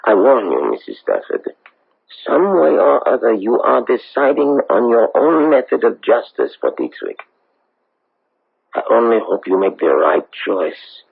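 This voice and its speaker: A man's voice: lowish voice, sing-song, creaky, breathy edge, heavily acted. An actor playing a grave, principled man seeking justice in a radio drama, speaking solemnly and formally. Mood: stern. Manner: solemn, formal, deliberate wording. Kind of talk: 1970s radio drama acting. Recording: old radio broadcast, thin narrow phone-like sound.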